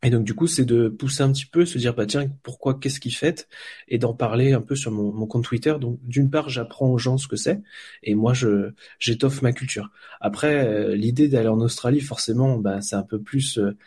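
A man's voice: mid-range voice, mellow, breathy edge. A man from France, a volunteer football blogger, answering interview questions in a hesitant way, with many fillers and restarts.

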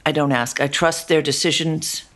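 A woman actor being interviewed about her role, talking animatedly, jumping between loud asides and soft murmurs, often ending with tag questions. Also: deep voice, steady tone, normal speed. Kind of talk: conversational interview.

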